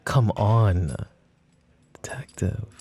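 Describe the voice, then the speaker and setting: A man voicing a smug, flirtatious mafia boss who teases and taunts the woman questioning him, in soft-spoken roleplay ASMR. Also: lowish voice, lively intonation, drawn-out words, breathy edge, full character voice. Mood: smug teasing. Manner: teasing, taunting, calls her pet names.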